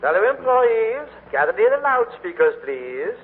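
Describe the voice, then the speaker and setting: An actor playing a pompous, menacing general manager making a formal address in a 1940s radio drama. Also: male voice, high-pitched, very animated, moderate pace. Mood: pompous, threatening. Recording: muffled, noisy 1940s radio broadcast.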